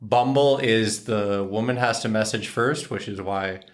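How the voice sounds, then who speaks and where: A man in his twenties co-hosting a casual couple Q&A vlog, relaxed and joking, speaking into a desk microphone in a small room. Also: lowish voice, unhurried. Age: 20s. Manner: relaxed, joking, matter-of-fact. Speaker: co-host, hockey player. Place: small bright room indoors.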